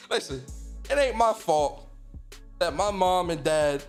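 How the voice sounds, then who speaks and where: A man in his thirties hosting a casual gaming livestream, reading out viewer comments and giving loose, profane shout-outs to the chat. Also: sits high, sing-song, takes it slowly, soft background music. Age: 30s. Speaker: streamer and podcast host.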